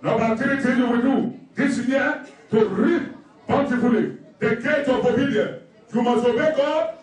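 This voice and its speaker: High-voiced preacher man, very echoey. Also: normal speed. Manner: emphatic, repetitive, numbered exhortations with scripture quotes. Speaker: pastor preaching. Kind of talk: sermon. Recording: very echoey room, distant microphone.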